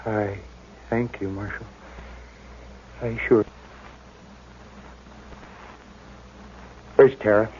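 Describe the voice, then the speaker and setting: On a dull old radio recording, a dying American rancher speaks very slowly in a low voice. He sounds weak and labored, a father full of worry. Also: fairly even intonation, darkish tone, a little hiss or hum. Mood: fading, grateful. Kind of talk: 1950s radio western acting.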